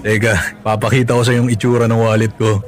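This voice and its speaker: A man's voice: lowish voice, little pitch movement, a little fast, slight background noise, close to an everyday voice. An actor with a Filipino accent playing a cash-strapped, apologetic and devoted young father in a radio drama, with a self-effacing manner. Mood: sheepish.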